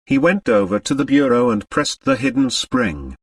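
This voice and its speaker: A man's voice: mid-range voice, wildly swooping pitch, moderate pace, creaky, no room sound, recorded from a distance. A man reading a novel aloud as a scripted audiobook narration.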